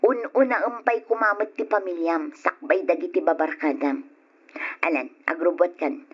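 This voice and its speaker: A woman's voice: fairly low pitch, normal speed, slightly rough. A woman playing a long-suffering working wife and mother in an Ilocano radio drama, scolding and exasperated with reproach. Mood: pointed, reproachful. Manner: reproachful, nagging. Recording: muffled lo-fi old radio recording.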